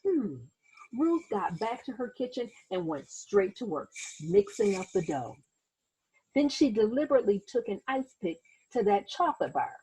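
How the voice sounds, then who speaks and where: Animated woman reading a storybook aloud, slightly husky. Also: medium pitch, moderate pace, low-quality recording. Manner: animated, dramatic, acts out sound words and exclamations. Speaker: teacher reading a picture book aloud. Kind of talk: expressive children's read-aloud storytelling with asides to listeners.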